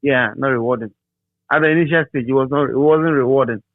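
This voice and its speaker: A man's voice: mid-range voice, quick pace, no room sound. Ghanaian man on a thin phone line. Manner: repeats and restates phrases for emphasis. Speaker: interviewee, radio DJ. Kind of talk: casual reminiscing interview.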